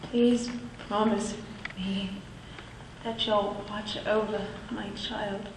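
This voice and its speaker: A woman voicing a dying mother pleading faintly, words dragging out in a flat tone. She sounds far from the mic with echo and clear hiss. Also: mid-range voice, extremely slow. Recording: distant, echoey, audible hiss.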